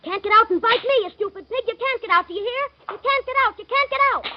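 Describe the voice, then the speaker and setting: A woman voicing an earnest, curious little boy in a 1930s radio drama, with a high, eager questioning manner, heard on an old broadcast recording. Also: quick pace, highs cut off, heavy background noise. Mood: taunting.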